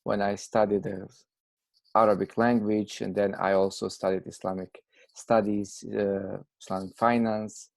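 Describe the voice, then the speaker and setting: A man's voice: fairly low pitch, level tone, unhurried, gravelly, no room sound. A man in his thirties with a Bosnian accent, giving explanatory answers as an academic in an interview. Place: indoors, video call.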